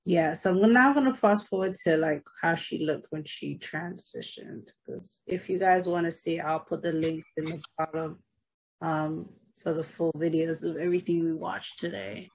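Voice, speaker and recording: A husky woman with a lowish voice co-hosts a casual reaction chat. She talks loosely to her viewers and often leaves a sentence half-finished. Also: fairly even intonation, normal speed, a little mellow, low-quality recording. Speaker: co-host of a reaction show.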